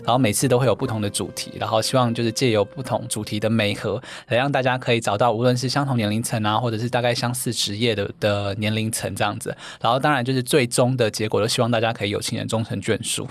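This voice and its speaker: A man, a guest in a casual interview chat, talking in a gentle, earnest way with soft fillers. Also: on the low side, steady tone, fast, hardly any pauses. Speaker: guest, dating event founder.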